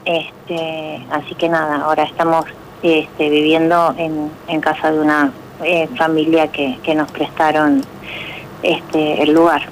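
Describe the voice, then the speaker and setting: A middle-aged Argentine woman being interviewed on the radio, with a distinctly low voice. She speaks haltingly, searching for words, over faint background noise. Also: level tone, rough recording. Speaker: interview guest, pilates instructor.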